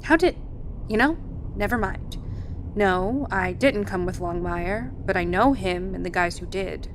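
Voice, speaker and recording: Actress as slow-paced, stubborn wounded woman. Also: natural voice. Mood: strained, wry. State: wounded, sighing. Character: wounded, stubborn female survivor, wary and exasperated, loyal to group. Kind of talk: naturalistic audio drama acting. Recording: faint low rumbling background noise.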